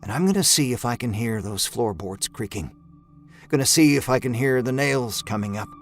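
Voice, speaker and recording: A man narrating a first-person horror story in a slow, brooding, measured way. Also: mid-range voice, lively intonation, faint music behind.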